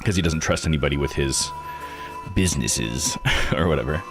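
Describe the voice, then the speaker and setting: A man's voice: very deep voice, normal speed, music in the background. A man hosting a live game playthrough, chatting informally and rambling through his commentary. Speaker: live streamer and host.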